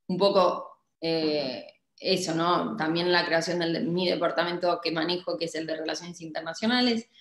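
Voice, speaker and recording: A woman's voice: fairly low pitch, fairly even intonation, moderate pace, roomy sound. A young woman with an Argentine accent, speaking on a video call as a guest, hesitant with many fillers and restarts. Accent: Argentine Spanish. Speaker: club director, guest. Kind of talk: casual interview on a video call. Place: home office, indoors. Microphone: laptop webcam mic on a video call.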